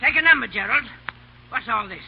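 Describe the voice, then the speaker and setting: An older woman acting an imperious, sharp-tongued rich grandmother in a 1930s radio comedy, bossy and snapping, with a raspy, creaky voice. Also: on the high side, expressive, fairly quick, slight background noise. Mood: suspicious, bossy. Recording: dull, lo-fi old radio recording.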